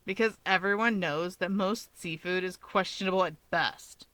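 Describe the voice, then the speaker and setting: A woman's voice: lowish voice, normal speed, a bit bright, no echo, lightly acted. A woman voicing a surly, sardonic young man with a drawling, dismissive manner in a story reading. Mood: irritated.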